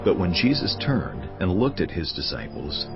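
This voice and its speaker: An actor playing a grave Bible narrator, telling scripture in a measured, solemn dramatic style. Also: male voice, deep voice, sing-song. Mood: solemn storytelling. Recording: dull sound under prominent music. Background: music.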